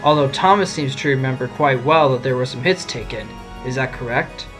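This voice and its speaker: A man's voice: mid-range voice, brisk, fairly bright tone, soft background music, lightly acted. A man playing an assured, wry fox defense lawyer, explaining things in an audio drama. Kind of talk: amateur audio drama acting.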